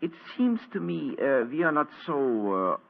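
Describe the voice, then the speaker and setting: An actor playing an anxious, courtly German count in weakened health, halting and formal, with a German accent, in an old radio play. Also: male voice, fairly high pitch, sing-song, creaky, exaggerated voice. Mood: earnest, urgent. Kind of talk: old radio drama acting. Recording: muffled, band-limited old radio recording.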